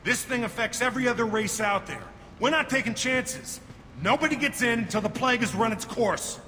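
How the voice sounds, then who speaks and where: Curt condescending alien guard, video game, big reverb, bit of background noise. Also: male voice, very high-pitched, brisk, bright, forceful tone. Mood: firm, raised. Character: gruff, dismissive male alien quarantine guard.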